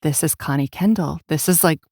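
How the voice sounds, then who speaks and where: Woman podcast host, lower-pitched voice. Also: brisk, a little mellow, no room sound, studio quality. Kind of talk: warm interview with scripted introduction.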